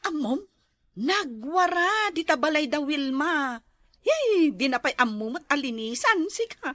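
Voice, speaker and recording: A woman's voice: sits high, very animated, a little slow, a bit bright, no room sound, clean studio sound, heavily acted. A woman acting a busy, exasperated wife and mother in an Ilocano radio drama, nagging and complaining with an Ilocano accent. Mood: gossipy. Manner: complaining, scolding.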